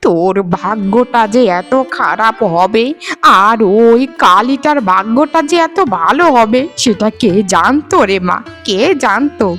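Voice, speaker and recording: A woman acts out an elderly village mother in a cartoon tale. The delivery is very animated and emotional, in a raised pitch with drawn-out phrases. Music sits behind it. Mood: lamenting. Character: elderly village mother and mother-in-law, sentimental, effusive. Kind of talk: Bengali cartoon voice acting.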